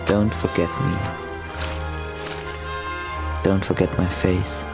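An actor playing a brooding man with slow, dramatic delivery in a radio drama. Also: low-pitched, flat delivery, gravelly, over loud music, exaggerated voice.

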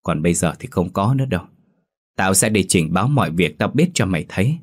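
A heartbroken young man shouts back with defiance and bitterness in a husky, rather low voice. A man puts him on as he reads. It is a spoken storybook. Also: animated, quick pace, no echo, studio quality. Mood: bitter.

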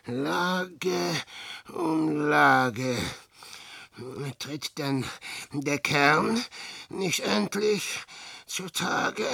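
A weary old adventurer in a verse radio play, speaking very slowly with a creaky edge. He broods and mutters theatrically, mocking himself. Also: sits high, bright, forceful tone, no echo, exaggerated voice. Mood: despairing, bitter. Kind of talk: verse monologue in 1960s German radio drama.

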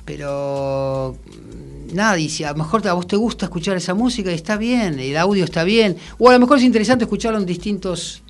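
A man with a Buenos Aires Argentine accent, a musician guest chatting casually and reflectively, with halting phrases. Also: sits high, creaky. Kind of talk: casual interview chat.

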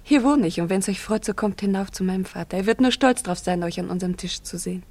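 A woman playing a shy young village girl in a German radio play, with a gentle, modest manner. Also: medium pitch, fast talker, no echo, close to an everyday voice. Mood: shy, inviting.

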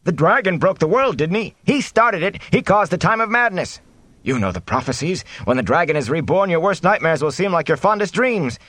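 A man's voice: high voice, sing-song, a little fast, a bit bright, no echo, pitched above the performer's own voice, exaggerated voice. A man voicing frightened, quarrelling villagers who cry out accusingly, in a fantasy audiobook. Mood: fearful. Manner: crying out, accusing, fearful. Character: alarmed, quarrelling villagers in a panicked crowd.

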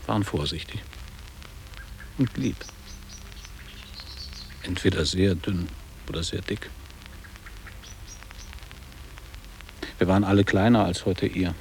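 Low-voiced wistful middle-aged former pupil. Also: male voice, slight background noise, poor audio. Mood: wistful, frank. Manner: measured, literary, storytelling delivery. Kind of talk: German radio play acting.

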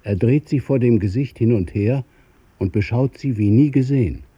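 A man narrating a radio play in a measured, literary way. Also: medium pitch, warm tone, husky, muffled recording, lightly acted.

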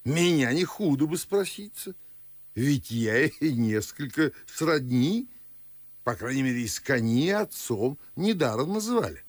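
An actor playing a fussy, grumbling older Moscow father and official in a verse radio play, with drawn-out declamatory delivery. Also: medium pitch, sing-song, no room sound. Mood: irritated, fussy. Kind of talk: theatrical audio drama in verse.

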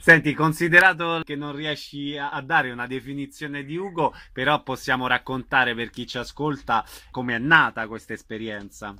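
Bright-voiced male radio interviewer. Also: medium pitch, steady tone, normal speed, hardly any pauses. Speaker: radio host.

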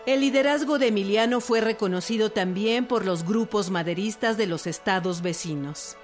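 A woman's voice: mid-range voice, normal speed. A woman with a Mexican accent reading scripted documentary narration. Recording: music behind the voice. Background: music.

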